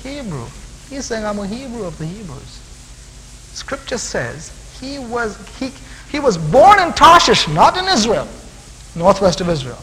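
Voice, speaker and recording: A man's voice: high-pitched, sing-song, measured pace, bright, forceful tone. A man preaching an expository sermon with explanatory asides, emphatically repeating and stacking phrases to drive points home. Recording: reverberant hall with audible hiss.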